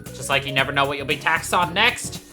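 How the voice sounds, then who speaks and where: A woman's voice: very deep voice, a little fast, over music. A woman voicing a chatty, droll school teacher lecturing a class as she reads video game dialogue.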